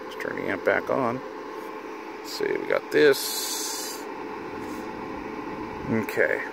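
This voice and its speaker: A man's voice: on the low side, wildly swooping pitch, takes it slowly, vocal fry. A middle-aged man giving a casual hands-on demonstration as a hobbyist video host, informal and half talking to himself. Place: inside a parked vehicle. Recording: noticeable car and fan noise.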